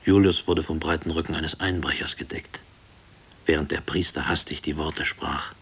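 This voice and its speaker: An actor playing a haunted middle-aged former prisoner, speaking a hushed, deliberate, brooding radio play monologue. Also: male voice, very deep voice, steady tone, breathy edge, full character voice. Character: haunted male former prison inmate, brooding family man. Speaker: narrator and lead character of a radio play. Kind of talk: brooding first-person radio drama monologue.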